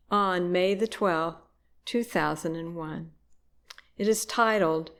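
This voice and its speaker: A woman worship reader, reading aloud at a church service. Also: mid-range voice.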